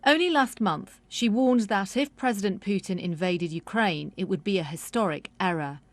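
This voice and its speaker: A woman's voice: medium pitch, moderate pace, dry recording. Woman narrating a news report. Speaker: news correspondent.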